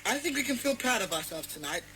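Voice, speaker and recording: A woman's voice: a little high, big pitch swings, fast talker, noisy background. A woman playing a confident, caring child who leads a secret club in an audio drama. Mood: proud, cheerful.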